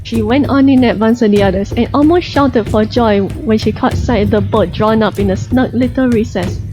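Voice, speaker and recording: A woman's voice: fairly high pitch, normal speed. A woman reading a storybook aloud as a scripted narration. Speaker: audiobook narrator. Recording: dull lo-fi recording, music behind. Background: background music.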